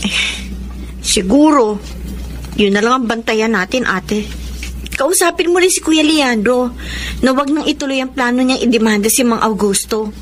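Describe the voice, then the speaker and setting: A woman playing a worried, conciliatory younger sister in a Filipino radio drama, gentle and pleading. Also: a little high, moderate pace, long pauses, slight background noise, close to an everyday voice. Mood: resigned, pleading.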